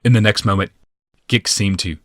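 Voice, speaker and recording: A man narrating a fantasy audiobook from a script in a measured, deliberate and dramatic manner. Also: on the low side, fairly bright tone, no room sound, studio quality.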